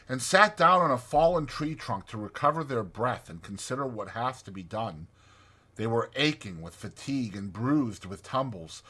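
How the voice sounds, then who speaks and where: A man narrating a storybook as a dramatized audiobook, with expressive, deliberate phrasing. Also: mid-range voice, slightly rough.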